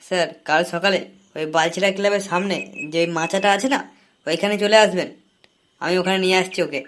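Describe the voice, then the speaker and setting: A man's voice: sits high, fairly even intonation, a little fast, fairly bright tone. A man voicing a cagey, money-minded young informer in a comic cartoon, wheedling and chatty. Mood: eager, bargaining.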